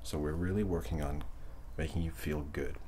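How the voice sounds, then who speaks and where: A man with an extremely low voice murmurs softly and closely, as in an ASMR session. His delivery is gentle, unhurried and breathy, often dropping into a whisper, with a little ambient noise behind him. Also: steady tone. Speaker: ASMR reiki session host. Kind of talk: ASMR guided relaxation, speaking softly to the listener.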